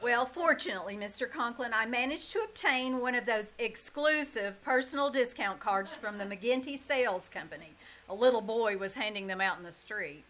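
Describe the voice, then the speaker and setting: Actress playing sardonic schoolteacher, high sharp voice, emphatic, quick-witted, radio comedy, noisy live stage recording. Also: female voice, animated. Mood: sly, wry. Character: wry, quick-witted, flustered female schoolteacher with a sly streak. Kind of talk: old-time radio comedy acting before a live audience. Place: small-town theater stage.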